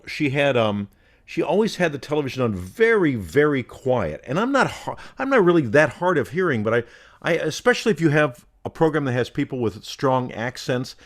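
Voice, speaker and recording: A middle-aged man co-hosting a podcast, with a resonant voice that carries, telling rambling, theatrical anecdotes in casual chat. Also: medium pitch. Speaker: podcast co-host.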